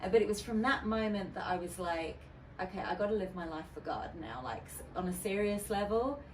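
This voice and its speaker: A woman speaks as a guest on a podcast, candid and chatty, her thoughts circling through fillers and restarts. Her voice sits at an ordinary middle pitch. The mic is some distance off in a roomy space with a faint hiss behind her. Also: animated, a little fast, a little mellow. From United Kingdom. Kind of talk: casual podcast interview.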